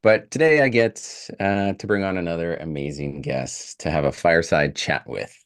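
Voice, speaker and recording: Deep-voiced upbeat male podcast host. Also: moderate pace, clean tone. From Canada. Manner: upbeat, effusive, laughs easily. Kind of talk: casual interview chat.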